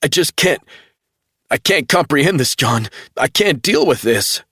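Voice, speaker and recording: A man voicing a grief-stricken young man who pleads through sobs, high-strung and scared. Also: very animated, moderate pace, a bit bright, husky, no echo, clean studio sound, exaggerated voice. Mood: distraught pleading. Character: distraught young man, grieving hacker.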